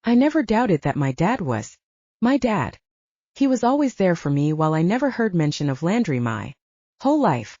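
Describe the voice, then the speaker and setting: A woman narrates an audiobook in the first person with a mellow, slightly low voice. Her intonation is lively and varied. The sound is very dry and a little dull. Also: moderate pace, dry recording.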